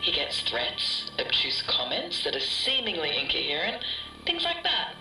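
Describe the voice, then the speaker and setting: A woman's voice: low-pitched, huge pitch swings, measured pace, pushed and bright, heavily acted. A woman playing an earnest, obsessive webmaster who talks emphatically and repeats herself on a voice call in a mystery podcast. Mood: uneasy, conspiratorial. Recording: echoey, distant call, music behind.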